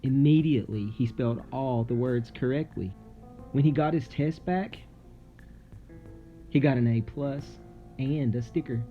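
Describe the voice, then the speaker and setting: A man reading a children's story aloud dramatically, with suspenseful, theatrical delivery and deliberate pauses. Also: medium pitch, dull audio. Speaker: storybook narrator. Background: music.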